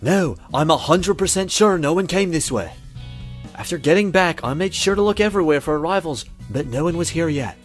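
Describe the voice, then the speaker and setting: A man's voice: a little high, expressive, a little fast, soft background music, natural voice. A man voicing an irritated, dim young party bro in a visual novel, slangy, whiny and dismissive.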